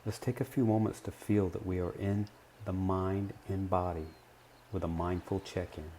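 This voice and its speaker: A man leading a guided meditation, speaking gently and in measured short phrases with long pauses between them. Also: sits low, steady tone, slight background noise.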